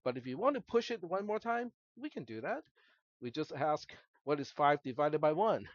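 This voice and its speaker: A male college instructor whose native language is Cantonese explains material to his class. His voice sits a little high for a man, and he often tacks on short questions to check understanding. The sound is dry and slightly dull. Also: big pitch swings, moderate pace, no room sound. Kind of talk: explanatory classroom lecture to students. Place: classroom, screen-recorded lecture.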